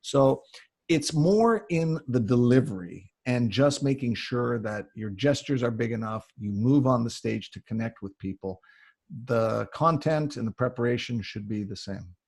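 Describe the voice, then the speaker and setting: Husky-voiced Canadian man giving advice. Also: medium pitch, lively intonation, a little slow, darkish tone. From Canada (Ontario). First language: English. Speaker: public speaking coach and guest speaker. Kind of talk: conversational advice answering audience questions.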